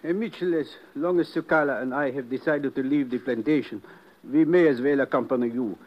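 An actor playing a formal, careful plantation owner in a 1950s radio drama. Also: male voice, mid-range voice, fairly quick, lightly acted. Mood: formal, resolved. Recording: dull, band-limited old radio broadcast.

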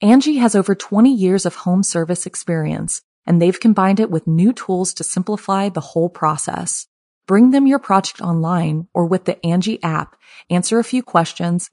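Lower-pitched woman reading an advert, dry. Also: darkish tone, no echo. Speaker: advert announcer. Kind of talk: scripted ad read.

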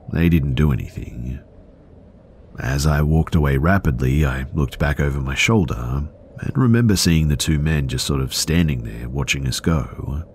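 Deep male narrator for a true-story horror podcast; steady, measured first-person telling. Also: slight background noise. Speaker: narrator reading a listener's story.

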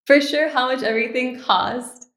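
A woman's voice: sits high, fairly even intonation, normal speed, a bit bright, echoey room. An American woman in her twenties from Miami, speaking as a podcast guest in a cheerful, articulate, positive conversational way. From Miami, Florida, United States. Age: 20s. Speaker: podcast guest, bride-to-be and law student. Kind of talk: casual interview conversation.

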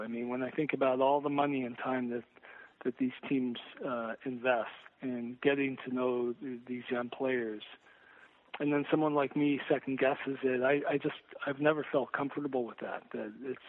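Male sports journalist guest, measured and hesitant, mid-pitched, heard over a tinny phone line. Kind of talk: sports interview discussion.

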